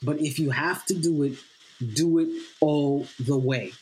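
A man whose first language is Swahili, a playwright guest on a podcast interview, earnest and halting with fillers, then building to emphatic repeated lines. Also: on the high side, a little mellow, slight background noise, poor audio.

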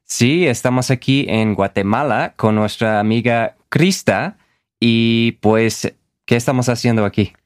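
Hombre estadounidense, co-presentador relajado. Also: male voice, medium pitch, measured pace, dry recording, studio quality. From United States. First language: English. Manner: relaxed, easygoing, sprinkles in Mexican slang. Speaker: podcast co-host. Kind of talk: casual chat.

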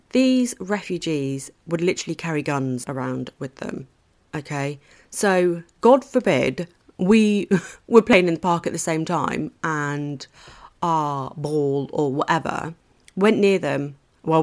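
A woman in her thirties hosting her own podcast, talking about her life in a rambling, candid way, with casual swearing and laughs at her own stories. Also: lowish voice. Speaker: podcast host. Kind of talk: casual solo podcast monologue.

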